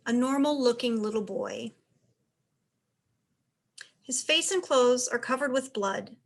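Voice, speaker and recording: A woman's voice: high-pitched, sharp tone. A woman reading stage directions as narrator, in scripted narration for a play.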